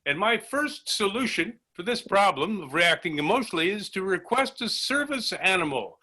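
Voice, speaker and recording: A man playing a pompous, eccentric, over-cheerful job candidate with theatrical, drawn-out delivery in an improvised comedy sketch. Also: high-pitched, sing-song, sharp tone, exaggerated voice. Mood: pompous, earnest. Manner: theatrical, emphatic, drawn-out enunciation.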